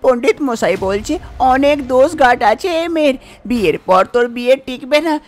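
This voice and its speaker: A woman's voice: on the high side, expressive, normal speed, pitched above the performer's own voice, lightly acted. A woman voicing an anxious, bossy mother of a grown son in a cartoon story, with urgent exclamations. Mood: alarmed, urgent. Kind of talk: Bengali cartoon story dialogue.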